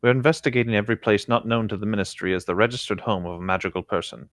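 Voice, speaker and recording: A man's voice: on the low side, steady tone, racing, dry recording, studio quality. A man co-hosting a read-aloud of a story, narrating the prose and its dialogue with brief reactions. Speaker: co-host reading fan fiction aloud.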